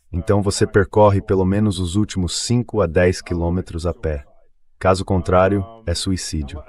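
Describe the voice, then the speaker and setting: A man with a low-pitched voice speaks as a guest in a dubbed interview. He explains things conversationally and slips into anecdote, keeping a deep register. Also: darkish tone. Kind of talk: dubbed translation of a spoken interview.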